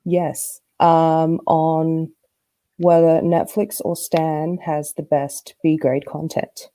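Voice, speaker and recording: Female podcast co-host, lowish mellow voice, casual chat, close dry sound. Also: level tone, slow, dry recording.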